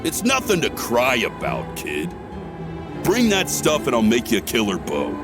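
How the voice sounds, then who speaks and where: A man voicing a gruff, cocky, streetwise young mentor in a video game. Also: medium pitch, wildly swooping pitch, a little slow. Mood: brusque. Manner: brusque, cocky. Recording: loud music behind the voice.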